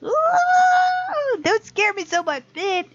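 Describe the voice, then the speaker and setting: A woman's voice: extremely high pitch, sing-song, drawn-out words, dry recording, shouting, lo-fi sound. A woman hosting a let's play, reading game text aloud and reacting casually and expressively. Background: faint game music.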